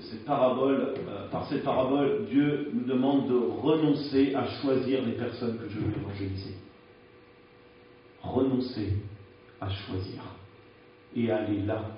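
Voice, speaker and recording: A man's voice: mid-range voice, steady tone, measured pace, pauses often, a little hiss or hum. A man preaching a sermon in a frank, conversational way, often hesitating as he speaks, recorded in a church. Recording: echoey church, distant dull mic.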